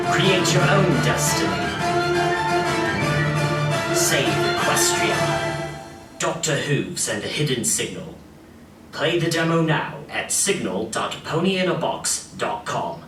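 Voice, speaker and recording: A man playing the guiding narrator of an adventure game, reading scripted lines in an expressive, theatrical way. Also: fairly high pitch, takes it slowly, long pauses, full character voice. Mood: dramatic. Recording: echoey hall playback, distant, faint music.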